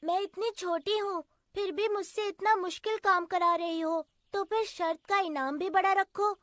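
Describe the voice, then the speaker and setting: A woman's voice: very high voice, fairly even intonation, a little slow, a bit bright, no echo, studio quality, voice pushed up. A woman voicing a brave, kind little girl with an earnest, sweet manner in a children's cartoon story. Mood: pleading, determined.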